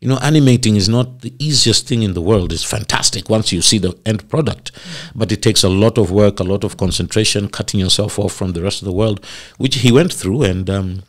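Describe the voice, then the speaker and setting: Middle-aged male actor as interview guest: low voice, expansive storyteller. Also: a little fast, hardly any pauses. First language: not Swahili. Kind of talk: casual interview chat.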